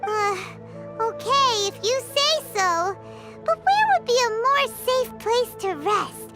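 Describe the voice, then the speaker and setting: A woman voicing a small, excitable, whiny fairy companion in a video game, with exclamatory delivery. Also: very high-pitched, slow, exaggerated voice. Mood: pleading. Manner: speaks of self in third person, exclamatory. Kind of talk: video game character acting. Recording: loud music behind the voice.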